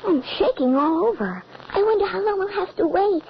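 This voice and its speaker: A woman's voice: very high-pitched, animated, brisk, creaky, heavily acted. A woman playing a frightened, tearful little girl with a whimpering, breathless, melodramatic delivery in an old radio horror drama. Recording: muffled, very noisy old radio recording.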